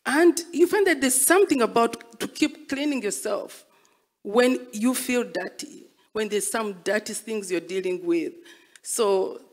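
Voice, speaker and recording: A woman's voice: a little high, measured pace. A woman speaking reflectively in an interview as an artist, telling stories and repeating phrases for emphasis. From Rwandan, born and raised as a refugee abroad. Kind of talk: reflective spoken interview, storytelling.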